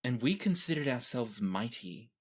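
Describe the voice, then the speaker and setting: A man voicing a professional female retainer and former adventurer who speaks in a formal, measured, respectful way in a tabletop game. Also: mid-range voice, sing-song, slightly rough. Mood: respectful, earnest.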